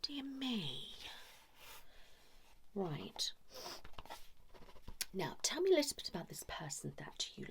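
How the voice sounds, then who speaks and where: A woman speaking softly and often whispering in an ASMR role-play as a chatty couples therapist, gently scolding. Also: medium pitch, sing-song, takes it slowly, long pauses, noisy background. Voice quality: soft, breathy, often whispered. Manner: chatty, mock-concerned, gently scolding, hushed. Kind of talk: ASMR role-play, one-sided improvised conversation.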